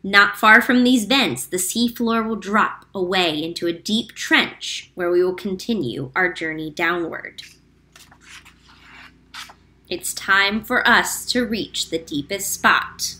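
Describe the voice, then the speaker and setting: A woman reading a picture book aloud to children as a teacher, clear and explanatory, slowing down on key facts. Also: medium pitch, sharp tone. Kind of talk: read-aloud storytime narration.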